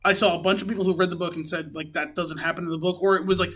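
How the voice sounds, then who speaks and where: Guy podcast cohost w a high bright voice, casual opinions, kinda measured. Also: flat delivery. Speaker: podcast co-host.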